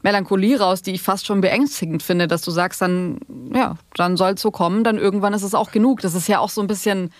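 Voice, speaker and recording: A woman's voice: medium pitch, brisk, hardly any pauses, no room sound, studio quality. A woman hosting a casual interview podcast, speaking in an informal, colloquial way.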